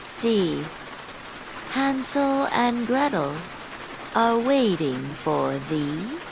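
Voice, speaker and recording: A woman's voice: fairly high pitch, very slow, gravelly, pitched above the performer's own voice, heavily acted. A woman voicing an earnest, kindly young girl in a storybook fairytale. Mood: pleading. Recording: steady rain noise over the voice.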